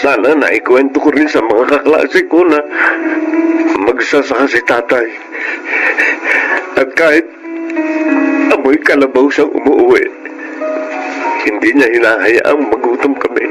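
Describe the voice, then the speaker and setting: Man acting humble Filipino farmer, loud music behind. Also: mid-range voice, measured pace, long pauses, lightly acted, rough recording. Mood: tearful, regretful. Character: farmer father, humble, guilt-ridden grown son. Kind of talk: emotional Filipino radio drama acting. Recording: loud dramatic music bed.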